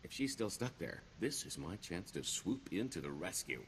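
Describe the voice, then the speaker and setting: A roguish con man from a video game. His deep voice moves freely up and down, wry and theatrical, hiding a wistful streak behind glib bravado. Clear background ambience sits behind him. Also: very animated, moderate pace, a bit bright, slight background noise, full character voice. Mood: sly, wry. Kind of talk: video game character dialogue.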